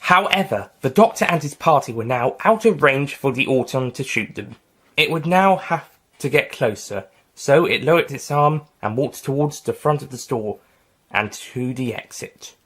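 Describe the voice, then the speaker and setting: A man's voice: mid-range voice, animated, moderate pace, a bit bright, slightly rough. A man narrating his own fan audio story in a plain storybook reading. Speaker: writer and reader.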